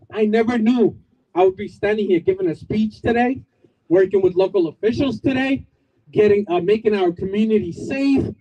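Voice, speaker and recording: High-voiced man giving a rallying speech. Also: dark tone, highs cut off. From Bangladesh. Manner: emphatic, repetitive, rallying with asides and jokes. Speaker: community organizer giving a speech. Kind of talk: outdoor public speech. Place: outdoors at a street corner.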